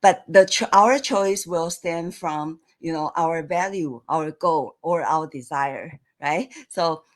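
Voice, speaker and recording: A woman guest in a casual online chat, speaking hesitantly with frequent small laughs. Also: low voice. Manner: slow, hesitant, laughs often. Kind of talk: casual live chat interview. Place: lived and worked in the United States.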